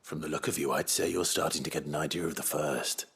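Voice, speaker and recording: A man playing a brooding, defiant British warrior in a video game, grave, measured and quietly menacing. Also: extremely low pitch, steady tone, gravelly, slightly rough, exaggerated voice.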